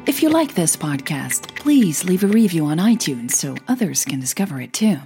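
A woman narrating scripted audio fiction as a podcast storyteller, in a measured, dramatic way. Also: fairly low pitch, sing-song, mellow, soft background music.

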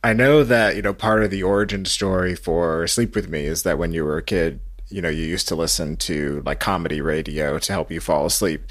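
A man's voice: low-pitched, fairly even intonation, a little fast, hardly any pauses. A man hosting a podcast, moving between scripted introduction and conversational talk. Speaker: podcast host.

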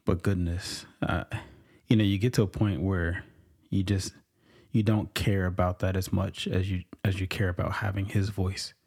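A man with a very low, deep voice talks through his faith in a slow, reflective monologue. He muses aloud, pausing and restarting his sentences as his thoughts form. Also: little pitch movement, vocal fry, darkish tone. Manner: musing, halting, many restarts and fillers.

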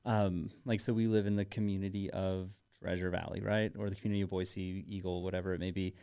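A man co-hosting a podcast in casual banter, with a teasing, informal manner and halting phrasing. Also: sits low, little pitch movement, dark tone, smooth. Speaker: podcast co-host.

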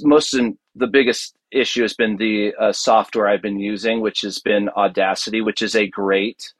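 Halting, enthusiastic man with a lowish voice. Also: no room sound. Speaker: podcast guest, audio drama producer. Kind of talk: casual interview talk.

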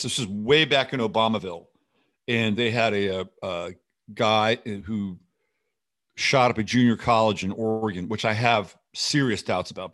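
A man's voice: on the low side, fairly bright tone. A man hosting a show with rambling, skeptical, sardonic and often profane unscripted political commentary.

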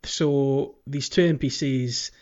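A man's voice: mid-range voice, fairly even intonation, slow, darkish tone. A man with a mid-range voice chats as a gaming podcast co-host. He is informal and conversational, often thinking aloud, and the recording is a little dull and lo-fi. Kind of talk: casual gaming podcast chat.

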